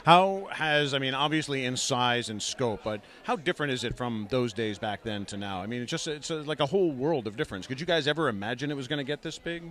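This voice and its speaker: A man's voice: medium pitch, lively intonation, fast, few pauses, a bit bright. A man doing a casual on-site interview, enthusiastic and complimentary as host.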